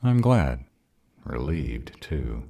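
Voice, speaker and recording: A man's voice: extremely low pitch, very animated, extremely slow, creaky, warm tone, no echo, exaggerated voice. A man playing a grumpy, insecure were-dragon in a romantic roleplay audio drama, hesitant and defensive as he courts his mate. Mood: shy, relieved. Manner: hesitant, defensive, self-doubting.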